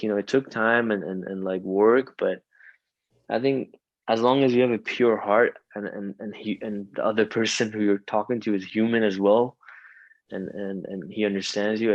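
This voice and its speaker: A man's voice: lowish voice, no echo, muffled recording. Rambling young man, dry sound. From Iran. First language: Farsi. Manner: rambling, many fillers and restarts. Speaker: rapper guest. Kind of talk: casual interview chat.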